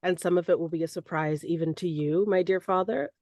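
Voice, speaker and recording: This hoarse, congested young woman chats loosely and teases as she co-hosts. Her voice sits on the low side for a woman and sounds close and dry, with no room around it. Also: moderate pace, a little mellow, dry recording. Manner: playful, teasing. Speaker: podcast co-host. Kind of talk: casual chat. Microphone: recording online.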